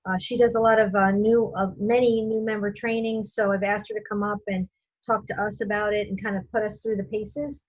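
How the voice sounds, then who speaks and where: A woman's voice: medium pitch, little pitch movement, a little fast, slightly rough, dry recording. Rambling woman hosting a video call. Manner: rambling, many fillers and restarts. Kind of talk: casual meeting host talk. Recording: muffled video call audio.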